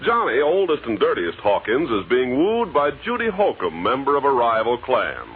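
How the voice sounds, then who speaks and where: A man's voice: mid-range voice, huge pitch swings, normal speed, slight background noise. A man announcing and narrating a 1940s radio comedy sketch in a mock-dramatic style. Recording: tinny 1940s radio, faint music.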